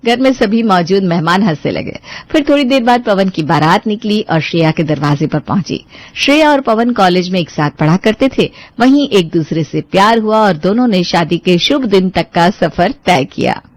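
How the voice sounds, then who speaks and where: A woman's voice: medium pitch, animated, normal speed, few pauses, no echo. A woman reading out a scripted story as its narrator.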